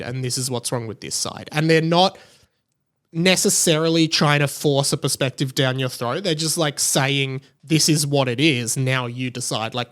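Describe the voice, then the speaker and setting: A man hosts a solo podcast. He talks in a casual, rambling, conversational style, as if thinking aloud. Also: fairly high pitch. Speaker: podcast host. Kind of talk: casual solo podcast chat about TV shows.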